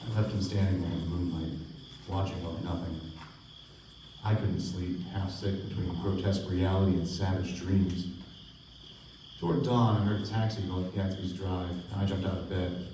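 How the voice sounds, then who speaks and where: A man's voice: low voice, fairly even intonation, moderate pace, natural voice. A man playing a reflective, melancholy narrator and loyal friend in a scripted live radio play. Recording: echoey distant stage recording, faint noise.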